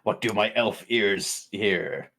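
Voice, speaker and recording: A man's voice: fairly low pitch, slow pace, pushed and bright. A man playing a tabletop game, chatting casually and throwing in wisecracks. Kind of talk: casual joking banter.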